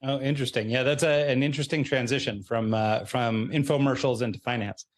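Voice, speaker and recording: A man's voice: medium pitch, steady tone, brisk. A man hosting an interview podcast in a casual, informal, meandering conversational way. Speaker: podcast host.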